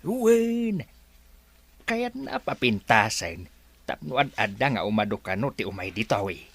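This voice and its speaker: Old male resort hand played by a man, slow, hugely varied pitch, hesitant and respectful, radio comedy. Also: sing-song, fairly bright tone, full character voice. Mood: chatty, reassuring. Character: deferential, cautious old male resort worker. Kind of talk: Ilocano radio comedy drama.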